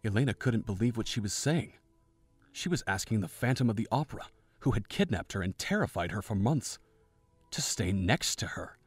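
A man reads the story's narration in a somewhat low, measured voice. His delivery stays even and steady. Soft music plays faintly underneath. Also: breathy edge, no room sound.

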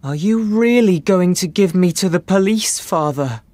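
A man's voice: high-pitched, lively intonation, unhurried, darkish tone, no echo, voice pushed up. A man voicing an indignant young man accused of theft, talking in a raised voice. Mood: pleading.

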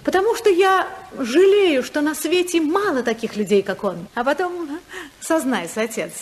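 A woman's voice: high voice, normal speed, a little hiss or hum. An actress playing a spirited, self-assured senator's wife and mother in a 1950s radio play. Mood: proud, defiant. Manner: lively, ironic, assured. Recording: 1955 radio drama recording.

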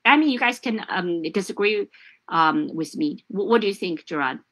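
A woman's voice: medium pitch, expressive, moderate pace, a bit bright. A middle-aged woman from China whose first language is Chinese, hosting a casual chat over a video call from home, talking with many fillers and restarts. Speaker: host. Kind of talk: casual live-stream chat. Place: home room.

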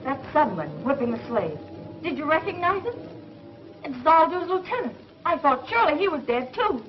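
A woman's voice: high-pitched, very animated, moderate pace, music in the background. An actress playing an earnest young female scientific assistant in a 1950s television serial. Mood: alarmed, earnest. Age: young adult. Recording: muffled 1950s TV soundtrack, faint noise.

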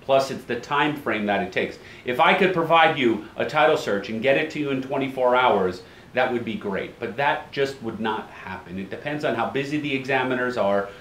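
A man's voice: medium pitch, fairly bright tone. Man in his forties, office host explaining to camera, mid-range voice, roomy echo, faint hum. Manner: brisk, plain-spoken, repeats key phrases. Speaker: title company owner, show host. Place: office at a desk.

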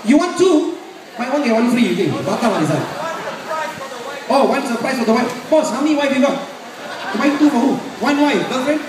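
Malaysian guy emceeing a warehouse sale, loud repetitive banter, super echoey pa, crowd noise all around. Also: very high-pitched, expressive, normal speed, pauses often, distant mic. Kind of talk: live sales patter to a crowd. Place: large open warehouse with a crowd. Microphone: handheld mic over PA, filmed from the audience. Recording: echoey, noisy PA recorded from the crowd.

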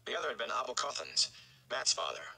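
A man's voice: medium pitch, sing-song, fast, gravelly, bright, forceful tone. A man in his thirties giving a dramatic audiobook-style narration of a fantasy novel. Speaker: read-along reader. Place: indoor room at home.

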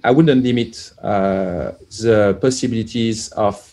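A man, a plug-in developer guest, answering casually with many pauses as he searches for words over a video call. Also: fairly low pitch, dark tone. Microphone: headset on video call.

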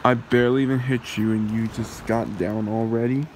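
A man voicing a possessive, taunting demon husband in an ASMR roleplay, with a mocking manner and a gravelly voice. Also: mid-range voice, fairly even intonation, moderate pace, darkish tone, slight background noise, close to an everyday voice, rough recording.

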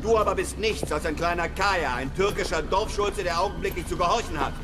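An actor playing a bold, self-assured adventurer hero who narrates his own exploits in a radio drama, measured and assured. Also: high voice, natural voice. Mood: commanding. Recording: music behind the voice.

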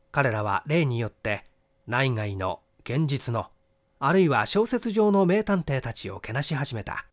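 Expressive middle-aged male narrator, lively pitch. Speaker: audiobook narrator, stage actor. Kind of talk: literary mystery narration.

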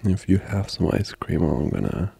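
A man playing a tender, comforting boyfriend in an intimate ASMR roleplay, breathy and often whispering, with slow, soothing murmurs. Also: low-pitched, sing-song, mellow, no room sound, full character voice. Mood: gently playful.

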